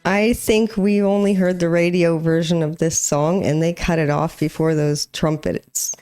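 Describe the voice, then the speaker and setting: A woman's voice: on the low side, steady tone, unhurried, warm tone, clear, resonant tone, poor audio. A woman co-hosting a music reaction video, chatting casually with her partner and laughing easily. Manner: chatty, laughs often, upbeat sign-off. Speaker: co-host of a music reaction channel.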